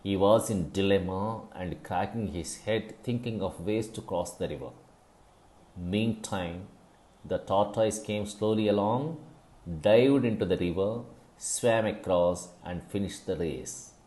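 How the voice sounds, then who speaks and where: Male storyteller for scripted moral fable: lowish pitch, very unhurried, deliberate and instructive. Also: mellow.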